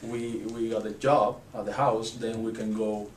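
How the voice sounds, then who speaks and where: A man with a Cuban Spanish accent speaks in a fairly low voice and answers casually, pausing often. He sits back from the mic in an echoey room, and steady background noise runs underneath. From Cuba. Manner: halting, with many fillers and restarts. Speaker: handyman. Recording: roomy, distant mic, ambient noise.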